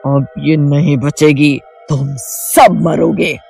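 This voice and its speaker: A woman voicing a cruel female witch spirit in a cartoon, speaking low and gloating. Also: steady tone, slow pace, creaky, a little mellow, husky, faint music behind, exaggerated voice. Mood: menacing. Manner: low gloating voice with shrieking laughs. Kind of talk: cartoon voice acting.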